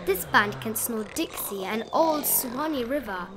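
A woman narrating a rhyming children's bedtime picture book in an expressive, sing-song read-aloud style with drawn-out emphasis. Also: on the high side, noisy background. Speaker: storybook narrator.

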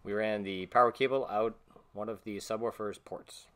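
A man's voice: lowish voice, steady tone, normal speed, a bit bright, faint music behind. A young man plainly explaining a DIY speaker build step by step, with some fillers, in a home garage. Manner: plain, matter-of-fact with fillers. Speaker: co-host of a DIY video.

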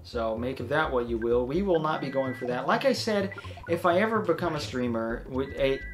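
A young man talks over a retro role-playing game in a flat, monotone voice, drifting from point to point as he plays. His pitch sits in the middle range, and faint music plays under his voice. He explains things at length in casual commentary. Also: normal speed. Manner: self-described monotone, rambling explanations. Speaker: let's play host.

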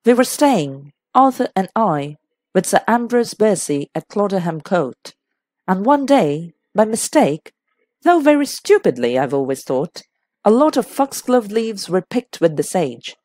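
A stubborn society hostess played by a woman narrator from a classic mystery audiobook. She protests in a flustered, plaintive way, with swooping intonation and an unhurried pace. The sound is close and dry. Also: mid-range voice, sing-song, darkish tone, dry recording. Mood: reluctant, plaintive. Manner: indignant, obstinate protesting.

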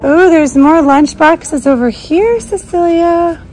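A woman's voice: very high voice, slow pace, darkish tone, soft background music. A woman in her thirties, a homeschooling mother, chatting upbeat and thinking aloud as she shops in a large store, filming on a handheld phone. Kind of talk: casual shopping vlog narration. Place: large retail store, indoors. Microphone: handheld phone camera.

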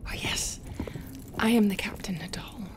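A woman's voice: mid-range voice, measured pace, close to an everyday voice. A woman playing a haughty, bitter pirate captain in an ASMR roleplay, scornful and often dropping into whispers. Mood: scornful, cold. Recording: clear ambient noise behind the voice.

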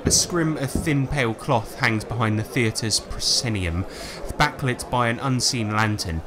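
A man narrating a tabletop game as game master, reading dramatic descriptions in a measured, scripted way. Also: mid-range voice, noisy background. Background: music.

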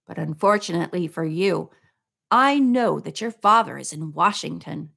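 Woman reading as a sneering male storybook villain with fry. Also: lowish voice, expressive, moderate pace, a bit bright, exaggerated voice. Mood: taunting. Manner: taunting, contemptuous. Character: mocking, sneering male villain posing as a servant.

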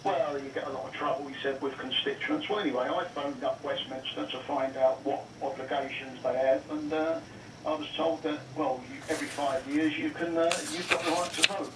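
Guy ringing a radio show, indignant n wordy, bit high voice, far off n noisy. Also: steady tone, a little fast. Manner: wordy, retells exchanges as reported dialogue. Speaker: radio phone-in caller. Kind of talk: anecdotal, indignant complaint. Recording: roomy radio playback, distant, noisy.

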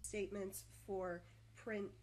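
A woman narrating a scripted software tutorial, stuttering with long pauses and repetitions and over-enunciating every word. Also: medium pitch, flat delivery, slight background noise.

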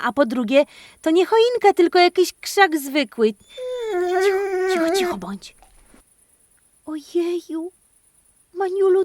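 Female children's podcast host: animated, exclamatory, playful, unhurried delivery, dry recording. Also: very high-pitched, no echo. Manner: animated, exclamatory, addresses children and dog directly. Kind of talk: playful interactive storytelling, chatting to her dog.

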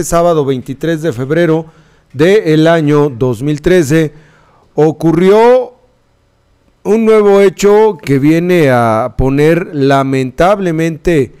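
A man's voice: on the high side, darkish tone, clear, resonant tone. Man anchoring radio news. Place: radio studio. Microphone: studio mic on arm.

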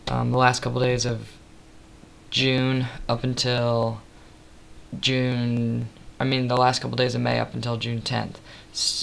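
Tired young man with a gravelly voice. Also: a little hiss or hum. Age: 20s. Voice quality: slightly raspy from tiredness. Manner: rambling, many ums, soft and low-key. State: tired, late at night. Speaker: vlogger. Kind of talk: casual webcam update. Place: small room indoors. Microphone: built-in webcam mic at close range.

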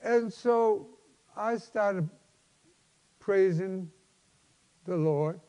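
A man in his seventies, a pastor preaching a personal testimony in an emphatic, halting, folksy way. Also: high voice, dark tone. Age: 70s. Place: large church sanctuary, at a lectern. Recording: long hall reverb.

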